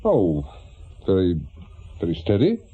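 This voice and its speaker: Steady English ex-police investigator, rough-edged voice, informal and measured, lo-fi radio drama. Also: male voice, low-pitched, heavily acted. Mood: awkward, polite. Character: steady, frank middle-aged male private investigator, ex-police. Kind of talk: naturalistic British radio crime drama acting. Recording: dull, lo-fi radio drama recording.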